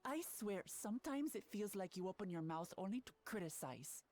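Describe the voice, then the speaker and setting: A woman's voice: medium pitch, lively intonation, normal speed, darkish tone, lightly acted. Young royal heir played by a woman, game cutscene. Earnest, resolute, plain-spoken, mid-range. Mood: exasperated, spirited. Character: earnest, spirited young princess seeking her father's throne. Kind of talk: video game cutscene acting.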